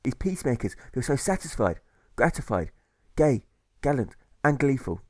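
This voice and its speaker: Male audiobook narrator, medium pitch, rhythmic rhyming delivery, measured phrases, close dry recording. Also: very animated, no room sound. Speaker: author reading own audiobook.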